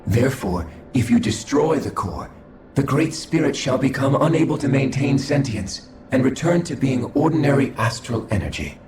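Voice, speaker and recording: A man playing a calm, coldly logical alien observer in a video game, speaking formally and dispassionately. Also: mid-range voice, close to an everyday voice. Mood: detached, formal. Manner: formal, measured, dispassionate delivery. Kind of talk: scripted video game cutscene acting. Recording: echoing voice over background music.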